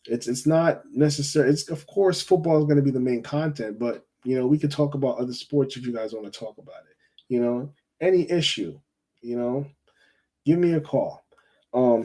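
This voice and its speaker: A man from Jamaica hosts a casual football call-in show. His voice is mellow and dark, at a middle pitch. He rambles in a friendly way, repeats phrases and keeps adding "you know.". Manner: chatty, rambling, repeats himself, says you know often. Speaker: fan call-in show host.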